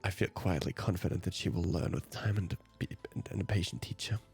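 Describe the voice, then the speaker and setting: A man's voice: deep voice, brisk, darkish tone, soft background music. A man whose native language is German, hosting a let's play and reading visual novel narration aloud.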